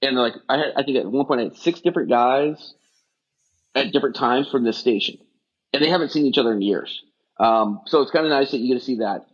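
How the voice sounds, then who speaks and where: A middle-aged man with a husky, slightly rough voice, talking fast in long rambling run-ons. He chats casually as a podcast guest. The audio is dull and lo-fi. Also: medium pitch. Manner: rapid, rambling run-on talk. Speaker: guest, firefighter rehab specialist.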